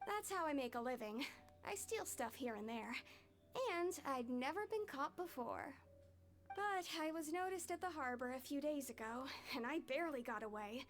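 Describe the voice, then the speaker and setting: A woman's voice: high-pitched, animated, normal speed. An actress playing a nervous, evasive young woman thief confessing in an anime game. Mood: sheepish explaining. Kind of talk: anime video game acting.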